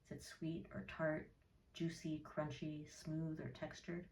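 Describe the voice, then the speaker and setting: A woman with a deep, mellow voice leads a guided meditation. She is soothing and measured, letting gentle pauses fall between phrases. The recording is echoey, as if made in an open room. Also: completely flat delivery, a little hiss or hum. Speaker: therapist leading a guided meditation. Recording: roomy echo, distant from mic.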